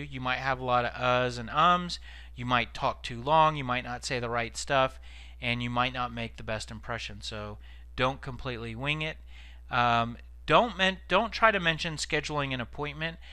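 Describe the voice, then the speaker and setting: Bright-voiced man giving an informal lesson. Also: medium pitch, smooth, no room sound. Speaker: sales trainer presenting tips. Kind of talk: informal instructional presentation.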